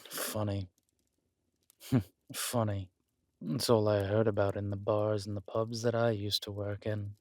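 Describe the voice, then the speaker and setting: A man's voice: fairly low pitch, level tone, a little slow, long pauses, no echo, close to an everyday voice. A man playing a scheming, flirtatious villain king in an intimate roleplay audio drama, with a languid, teasing, menacing delivery. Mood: wry, teasing.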